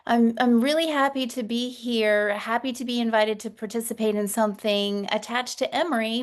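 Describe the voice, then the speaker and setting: Woman teaching a webinar on a video call, warm and encouraging, gracious, repeats a word here and there. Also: medium pitch, clear, resonant tone. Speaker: communication coach and speaker. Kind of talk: interactive webinar lesson.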